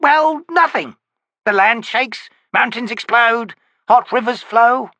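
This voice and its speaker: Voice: mid-range voice, sharp tone, no echo, pitched above the performer's own voice. A narrator voicing an ancient, cackling, toothless old woman who hisses and shrieks her cryptic answers. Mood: lecturing. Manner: hissing, shrieking, slow cryptic pronouncements.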